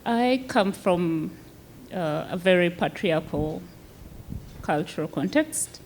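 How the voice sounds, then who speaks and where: A woman from Uganda speaks very slowly and deliberately on a panel, her voice pitched a little low. Faint background noise runs beneath her. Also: animated, a little mellow. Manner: slow, measured, deliberate. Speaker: panelist, health fellow. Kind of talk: panel discussion remarks. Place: luncheon event hall.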